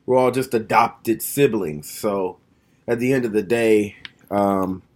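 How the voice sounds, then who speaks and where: A man's voice: lowish voice, takes it slowly. A man in his thirties talking at home in a casual, reflective monologue to the camera. He is frank and plainspoken, and thinks aloud as he goes. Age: 30s.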